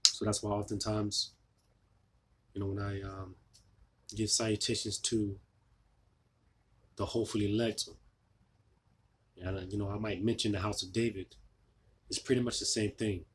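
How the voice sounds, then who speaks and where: A man losing his voice, giving an informal scripture sermon, halting with many fillers and restarts. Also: fairly low pitch, flat delivery, a little hiss or hum. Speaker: preacher.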